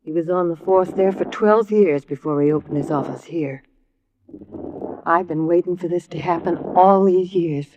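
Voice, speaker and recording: A woman acting as a grieving, anxious middle-aged widow in a 1950s American radio drama, speaking in a halting, strained way. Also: lowish voice, lightly acted. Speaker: actress in a radio detective series. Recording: dull, faintly noisy vintage radio recording.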